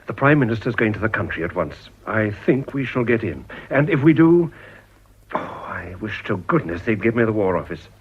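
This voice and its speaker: An actor with an English accent playing an earnest, ambitious Edwardian politician in a period radio drama. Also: male voice, on the low side, animated, moderate pace, slight background noise, close to an everyday voice. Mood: eager, confiding. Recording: dull, slightly muffled old radio recording.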